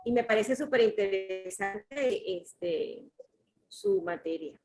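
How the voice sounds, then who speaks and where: Woman, from Venezuela, teacher, slow speech, fillers, faint guitar behind. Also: medium pitch, sing-song, gravelly, darkish tone. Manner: slow, uses fillers like "este". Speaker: graduate student and teacher. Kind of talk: casual class participation.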